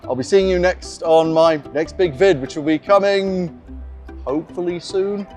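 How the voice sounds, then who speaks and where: A man with a slightly high voice speaks conversationally, like a game master explaining his approach in a casual vlog. Soft guitar music plays behind his voice. His delivery is explanatory and informal. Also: unhurried. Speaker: game master giving scenario tips.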